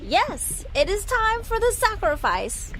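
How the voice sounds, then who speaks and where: A woman's voice: very high-pitched, very animated, slow pace, fairly bright tone, slight background noise, exaggerated voice, low-quality recording. A woman playing a cruel, commanding evil stepsister who leads a tribe in a comedic audio drama. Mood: commanding, triumphant. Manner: commanding, menacing.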